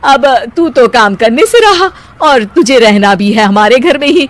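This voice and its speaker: A voice actor playing a fond middle-aged mother in a cartoon, doting on her son while gently scolding him. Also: mid-range voice, expressive, measured pace. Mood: wry. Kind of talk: cartoon voice acting.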